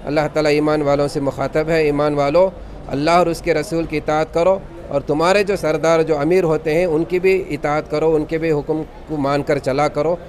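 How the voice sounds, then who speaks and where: A man's voice: fairly high pitch, darkish tone, slight background noise. A man, an imam making a formal, devout spoken statement to a news camera. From Karnataka, India. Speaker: imam and khatib.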